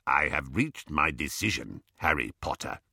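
A man voicing a stern, proud, easily angered goblin in an audiobook, speaking in a firm, deliberate, formal way. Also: very deep voice, sing-song, gravelly, pushed and bright, dry recording, clean studio sound, pitched below the performer's own voice, full character voice. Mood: cold, firm. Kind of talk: audiobook character voice.

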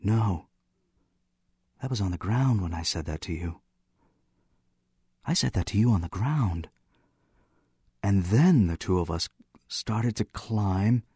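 A man voicing a candid, excitable teenage schoolboy in an audiobook, earnest and a little flustered as he remembers. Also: fairly low pitch, breathy edge, dry recording, clean studio sound, full character voice. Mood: taken aback. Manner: spontaneous, earnest, halting as he remembers.

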